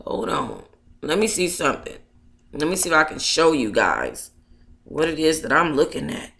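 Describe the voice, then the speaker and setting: A woman's voice: sits low, big pitch swings, normal speed, vocal fry, a bit bright, reverberant. A woman on a casual livestream, talking to viewers in a playful, slangy, chatty way as she hosts and reads aloud. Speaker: livestream host reading their own book aloud.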